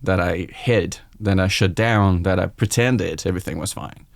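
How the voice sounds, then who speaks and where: A man in his forties speaks English with a French accent, his low voice open and reflective as he tells his story. The sound is dry and close, with no room around it. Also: normal speed, gravelly, no room sound. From France. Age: 40s. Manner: reflective, open, quoting his own thoughts aloud. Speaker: guest chef. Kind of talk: candid podcast conversation.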